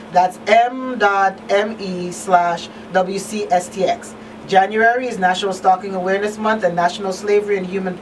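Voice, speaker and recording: A woman hosting a live call-in radio talk show. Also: mid-range voice. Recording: slightly distant, faint noise.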